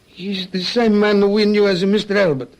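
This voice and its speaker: A man's voice: sits high, steady tone, normal speed. An actor playing a guarded male arson suspect in a radio crime drama, answering carefully and defensively in formal wording.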